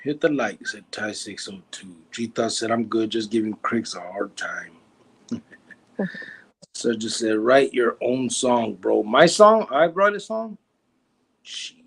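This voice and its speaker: A man in his forties runs a relaxed livestream, reading viewer comments and ribbing his partner. His voice is gravelly and a bit husky, with a teasing, joking way of talking. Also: mid-range voice, slow, rough recording. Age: 40s. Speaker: livestream host.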